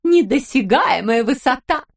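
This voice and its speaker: A woman's voice: sits high, lively intonation, measured pace, gravelly, voice pushed up, full character voice. A woman voicing a sweet, silly brain-eating wife who coos fond pet names at her husband in a storybook.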